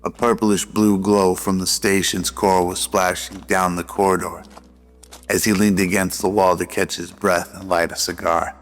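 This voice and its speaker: A man's voice: low voice, little pitch movement, normal speed. A man reading scripted narration for a radio drama. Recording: long reverb over faint music.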